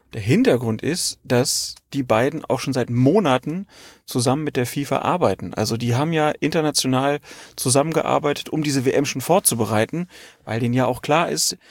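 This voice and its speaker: Guy hosting a footy podcast, medium voice, chatty, thinks out loud, dry close recording. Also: mid-range voice, slightly rough, no echo. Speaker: podcast host asking questions. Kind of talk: casual conversational interview.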